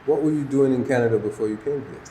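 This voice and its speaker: A man's voice: mid-range voice, fast, mellow, rough recording. A man hosting a casual interview, asking questions in colloquial language with brief interjections. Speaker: host, interviewer. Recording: clear background noise, ambience.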